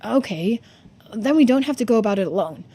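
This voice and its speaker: A woman's voice: on the high side, lively intonation, a little fast, warm tone, breathy edge. Earnest, anxious young game hero, voiced by a woman. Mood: questioning. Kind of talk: video game dialogue read-aloud.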